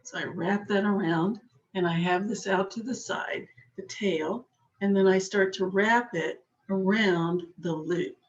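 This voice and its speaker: Slow, patient woman teaching a craft. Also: medium pitch, muffled recording. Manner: patient, chatty, talks while working with her hands. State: slightly hoarse. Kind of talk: slow step-by-step craft demonstration.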